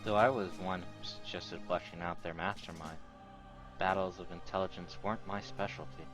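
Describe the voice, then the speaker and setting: Deep voiced guy reading visual novel narration out loud, music behind him. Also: steady tone, fairly quick. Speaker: narrator reading translated game text aloud. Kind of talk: scripted narration.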